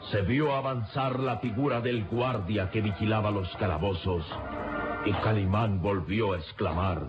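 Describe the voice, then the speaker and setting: A man's voice: fairly low pitch, a little slow. A man narrating an old adventure radio serial in a solemn, emphatic, suspenseful style. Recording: dull lo-fi radio serial, loud music.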